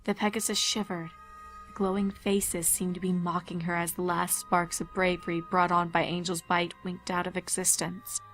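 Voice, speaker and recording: Low-voiced woman narrating a horror story. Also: little pitch movement, moderate pace, fairly bright tone, poor audio. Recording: faint music behind narration. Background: faint music.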